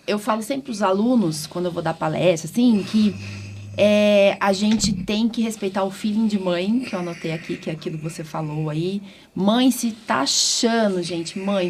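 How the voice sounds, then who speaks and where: A Brazilian woman doctor hosting a podcast, talking informally and often ending phrases with tag questions. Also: mid-range voice. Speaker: host and immunologist doctor. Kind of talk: conversational interview podcast. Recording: clearly noisy background.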